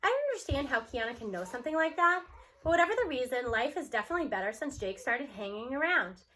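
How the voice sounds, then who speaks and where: A woman with a high, bright voice reads a children's novel aloud as the storyteller. Her delivery is expressive and clearly shaped for young listeners, with a forward, crisp edge to the tone. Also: fast, a little hiss or hum. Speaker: audiobook reader. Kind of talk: expressive read-aloud of a children's novel in a student's first-person voice.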